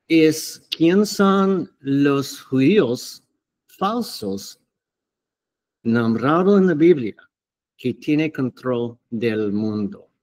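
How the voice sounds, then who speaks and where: Very slow, halting man teaching the Bible. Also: mid-range voice. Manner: hesitant, halting, many fillers and restarts. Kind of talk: unscripted Bible teaching to camera.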